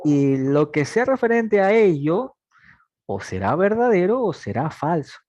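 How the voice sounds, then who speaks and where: A man's voice: fairly high pitch, big pitch swings, unhurried, a little mellow, dry recording, lo-fi sound. A man in his twenties teaching a math logic class, patiently asking students questions and then answering them himself. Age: 20s. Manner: repeats points, talks plainly to students. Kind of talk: online class lecture.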